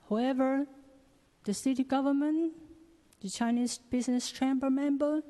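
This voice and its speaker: A woman with a Cantonese accent, a native Cantonese speaker, giving prepared public testimony at a meeting in halting, non-native phrasing. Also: mid-range voice, warm tone. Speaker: public commenter. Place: large echoing public meeting chamber. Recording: long echoing hall reverb.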